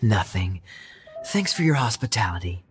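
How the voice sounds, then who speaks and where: An actor playing a suave young knight in a video game, smooth, playful and teasing. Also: male voice, lowish voice, sing-song, moderate pace. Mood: pleasant. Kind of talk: video game character dialogue. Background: music.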